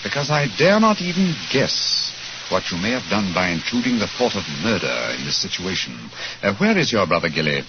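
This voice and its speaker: An actor playing a shrewd, composed English gentleman detective in 1940s radio style, with a measured, formal, authoritative delivery. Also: lowish voice, very animated. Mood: polite, probing. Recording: noisy muffled 1940s radio recording.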